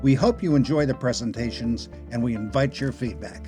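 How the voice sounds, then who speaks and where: A man reading scripted announcements and stage directions as a narrator, in a formal, measured way. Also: medium pitch, over music.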